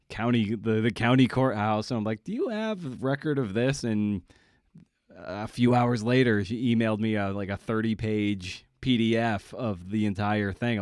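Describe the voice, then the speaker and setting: A man's voice: fairly low pitch, normal speed, darkish tone, no echo, studio quality. A North American man hosting a casual podcast interview, informal and a little rambling, repeating words as he goes. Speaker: podcast host.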